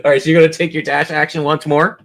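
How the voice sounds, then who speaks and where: A man's voice: a little high, level tone, quick pace, vocal fry, a bit bright. A man acting as game master, narrating and refereeing a tabletop fantasy game in colloquial language and speaking directly to his players.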